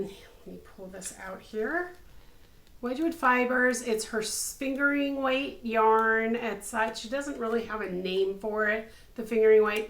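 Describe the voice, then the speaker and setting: A woman in her fifties, slightly hoarse, hosting a casual craft podcast from her home craft room, upbeat and full of ums. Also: fairly high pitch, a bit bright. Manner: chatty and upbeat, many ums. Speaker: podcast host, crochet designer. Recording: echoey room.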